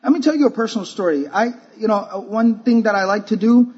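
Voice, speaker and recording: A man preaching to a conference crowd, casual and joking one moment, earnest the next. His voice sits fairly high and sounds a bit husky. The recording is muffled and lo-fi. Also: quick pace, darkish tone. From Texas. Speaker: speaker at a religious conference. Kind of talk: sermon to a youth-focused audience.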